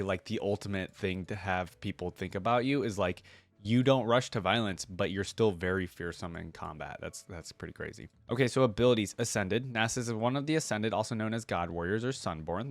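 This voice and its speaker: A man's voice: on the low side, dry recording. A man hosting a reaction video, talking informally and thinking aloud as he comments and reads along. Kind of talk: casual reaction commentary, reading wiki text aloud.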